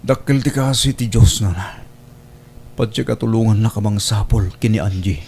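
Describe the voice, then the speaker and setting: A man's voice: on the low side, steady tone, moderate pace, darkish tone, a little hiss or hum, natural voice. A man playing a devoted, respectful young provincial suitor in a family radio drama. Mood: worried, resolute.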